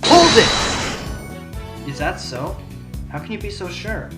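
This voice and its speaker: A man's voice: high-pitched, huge pitch swings, slow, heavily acted. A man playing a determined, probing fox defense attorney in a fan courtroom audio drama, given to dramatic delivery. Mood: forceful, challenging. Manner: dramatic shouted objections, pondering asides. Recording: roomy echo under loud music.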